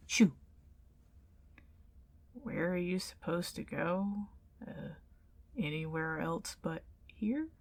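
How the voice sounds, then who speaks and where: A woman plays a flustered female ghost in an ASMR roleplay. Her voice sits a little low for a woman, and she speaks slowly. She is huffy and defensive, stammering as she insists on being taken seriously. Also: darkish tone, breathy edge, exaggerated voice. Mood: flustered, unsure. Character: indignant, flustered tsundere ghost woman, over a century old.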